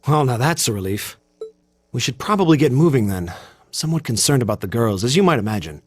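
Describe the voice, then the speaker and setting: Stiff, animated teenage male cadet. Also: mid-range voice, brisk, slightly rough, dry recording, soft background music. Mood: relieved, concerned. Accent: American. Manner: formal, hesitant, self-conscious. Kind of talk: video game voice acting.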